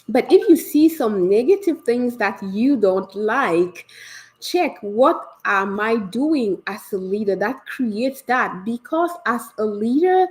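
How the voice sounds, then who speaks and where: A woman leadership coach hosting a live session, slightly raspy from a developing cold, talking with eager, encouraging energy and lots of questions. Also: medium pitch, low-quality recording. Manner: energetic, warm and encouraging, asks many questions. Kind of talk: enthusiastic live coaching talk.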